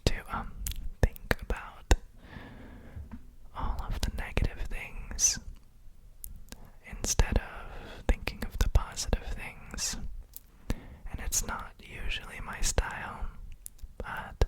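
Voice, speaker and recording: Female ASMR guide: all whisper, extremely slow and unhurried, breathy and soothing, for sleep relaxation. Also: poor audio. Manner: gentle, soothing, unhurried with frequent pauses. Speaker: ASMR host guiding relaxation.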